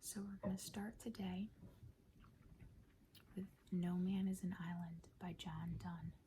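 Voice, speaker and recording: A woman speaking softly in a breathy half-whisper, gentle and measured, as an ASMR host reading to listeners. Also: lowish voice, steady tone, a little hiss or hum. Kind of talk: soft-spoken ASMR poetry reading.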